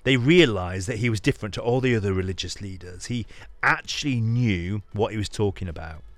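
Low-voiced man giving a dramatic scripture reading. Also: dry recording, faint music behind. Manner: earnest, deliberate, with pauses for emphasis. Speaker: podcast host reading scripture aloud. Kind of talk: dramatic reading of a paraphrased sermon.

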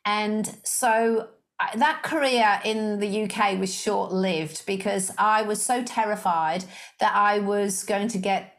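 A woman's voice: medium pitch, slow. A woman in her fifties with a slight Liverpool accent, telling her story as a guest in a casual interview, in a chatty, self-mocking way. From Liverpool, England. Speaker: guest, sales coach.

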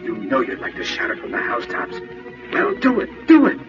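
A man's voice: medium pitch, huge pitch swings, brisk. An actor playing a man's goading, insistent conscience in a 1940s radio drama. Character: goading male conscience prodding a lovesick man. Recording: distant muffled 1940s radio, music behind.

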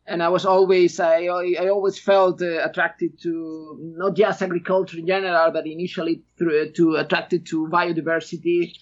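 A middle-aged man with a Spanish accent, a podcast guest who talks haltingly with many restarts. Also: fairly high pitch, flat delivery, lo-fi sound. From Spain. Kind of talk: podcast interview.

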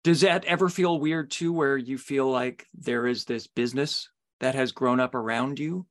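A man's voice: mid-range voice, normal speed. A middle-aged man hosting a podcast interview in a conversational style.

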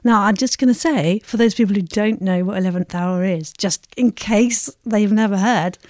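A woman's voice: mid-range voice, normal speed, darkish tone, no echo, clean studio sound. A woman who directed an audio drama, chatting playfully on a podcast. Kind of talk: casual podcast chat.

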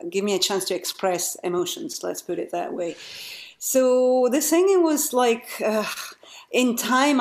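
A woman singer as an interview guest, talking things through in loose, searching phrases. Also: medium pitch. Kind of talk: casual conversation.

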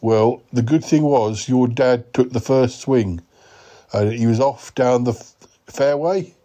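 A man voicing a clueless male yeti uncle who talks slowly and hesitantly. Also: mellow, lightly acted. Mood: bemused. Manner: slow, hesitant, wandering speech with a higher voice.

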